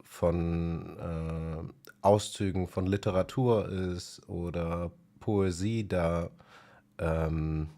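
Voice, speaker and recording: A man's voice: sits low, fairly even intonation, very slow, dark tone. A man chatting casually in his native German on his music channel, thinking aloud with many filler sounds. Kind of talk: casual spoken vlog.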